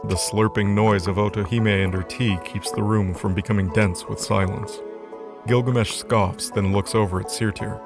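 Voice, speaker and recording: A man's voice: fairly low pitch, fairly even intonation, moderate pace. A man narrating a scripted fantasy story as the narrator of an audio drama. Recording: music under the voice.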